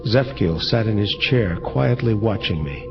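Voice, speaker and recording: An actor playing a world-weary angel of vengeance, narrating gravely in hardboiled detective style, measured and deliberate. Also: male voice, on the low side, animated. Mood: subdued. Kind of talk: first-person hardboiled detective narration, audio drama. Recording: dull lo-fi audio under loud music.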